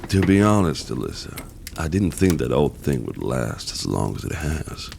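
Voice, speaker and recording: An actor playing a gruff, grumpy old mercenary mentor in an audio drama, teasing and gravelly, with a fatherly streak. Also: very deep voice, sing-song, moderate pace, a little mellow, slight background noise, full character voice. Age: older.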